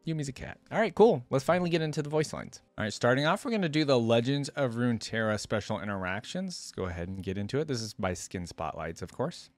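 A young man hosts a reaction video in a casual, expressive way, reading and musing aloud. His intonation swings widely at a middling pitch. The close microphone gives a dry, intimate sound. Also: fast talker, few pauses, no echo. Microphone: close desktop microphone.

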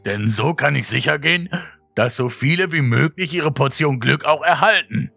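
Man putting on a high, sweetly sinister stranger. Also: animated, vocal fry, sharp tone, no room sound, soft background music, heavily acted. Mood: falsely sweet. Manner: sweetly polite, slow, menacing. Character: sinister smiling umbrella man, politely cruel dealer of happiness.

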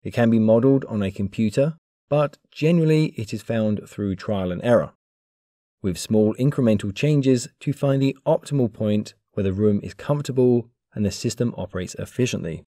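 Low, mellow-voiced man explaining engineering. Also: dry recording, studio quality. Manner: clear, measured, instructional. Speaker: engineering educator. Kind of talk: scripted explainer narration.

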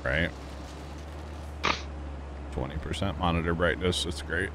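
Laid-back low-voiced man streaming a game. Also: fairly even intonation, measured pace, very noisy. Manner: laid-back, chatty, laughs often. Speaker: livestream host. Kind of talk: casual gaming stream chat. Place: home streaming setup.

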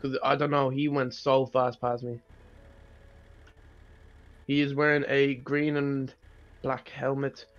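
A man's voice: mid-range voice, level tone, slow pace, long pauses, lo-fi sound. A man playing a police roleplay game, giving casual radio chatter.

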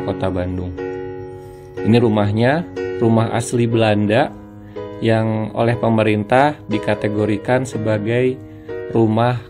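A man narrating a walk-through house tour, explaining each step as he presents the property. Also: lowish voice. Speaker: real estate agent presenting a house tour. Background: plucked guitar music.

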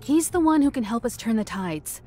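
A woman's voice: a little high, expressive, normal speed, dark tone, soft background music, close to an everyday voice. A woman voicing a composed, confident female leader in a video game, speaking in an assured, poised manner. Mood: calm, assured.

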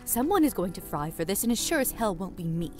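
A woman's voice: mid-range voice, very animated, fast, close to an everyday voice. A woman playing a hard, self-serving vampire guest in a video game, curt and scornful. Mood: cold, accusing. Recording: music behind the voice.

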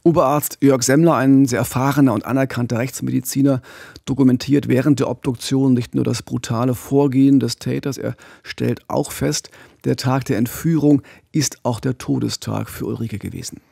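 A middle-aged man hosting a true-crime podcast, telling the story with a gravelly, husky voice on a studio mic. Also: mid-range voice, steady tone, normal speed, mellow, dry recording. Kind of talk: podcast storytelling, partly scripted. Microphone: studio mic with pop filter, headphones.

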